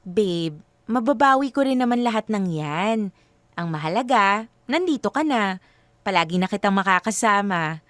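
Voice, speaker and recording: An actress playing a devoted, generous young girlfriend in a Filipino radio drama, sweet, upbeat and expressive. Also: mid-range voice, normal speed, pauses often, no echo, lightly acted. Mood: reassuring.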